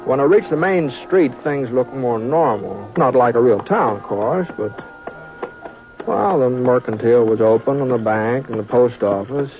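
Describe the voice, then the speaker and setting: An actor playing a soft-spoken Texas plainsman gunfighter who narrates his trail and stammers through dialogue in a 1950s radio drama. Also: mid-range voice, expressive, normal speed, lightly acted. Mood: reflective. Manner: hesitant, stammering, folksy drawl. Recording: tinny old radio broadcast with music.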